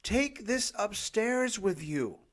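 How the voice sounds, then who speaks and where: A man's voice: sits high, big pitch swings, slow. A middle-aged man reading his own self-help audiobook in a dramatic, emphatic storytelling manner. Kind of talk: scripted self-help narration.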